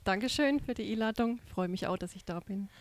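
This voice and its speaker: A woman's voice: mid-range voice, fast talker, no echo. A middle-aged woman from Baden with an Alemannic Baden German accent, chatting as a guest in a studio interview with lots of filler sounds. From Baden, southwest Germany. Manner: chatty, many "ähm" fillers. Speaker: guest, dialect society chair. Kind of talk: relaxed interview chat.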